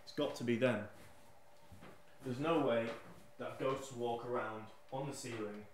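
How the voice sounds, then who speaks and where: A man's voice: medium pitch, measured pace, a little hiss or hum. A man in his twenties, a radio host, talking casually to camera in a nervous, jittery ramble. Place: indoors in an old hall room. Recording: echoey room sound.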